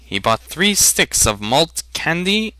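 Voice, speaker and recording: Guy reading a fantasy story out loud, really bright clear voice, dry close sound. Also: on the high side, big pitch swings, slow, no room sound. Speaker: audiobook narrator. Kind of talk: dramatic reading of a fantasy novel.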